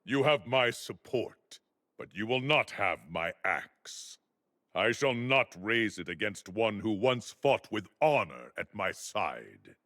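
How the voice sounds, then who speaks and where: Low, slow, proud beast warrior. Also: male voice, very animated, fairly bright tone, dry recording, full character voice. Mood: solemn, remorseful. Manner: solemn, formal archaic speech. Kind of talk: dramatic video game acting.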